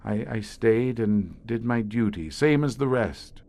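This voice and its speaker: A slow, haunted veteran scientist. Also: male voice, mid-range voice, lively intonation, creaky, dark tone. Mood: grim, resolute. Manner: grave, florid, dramatic storyteller with weighty pauses. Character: haunted, erudite veteran scientist guarding monster-infested subway tunnels. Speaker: actor in an audio horror drama. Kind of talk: theatrical audio drama acting.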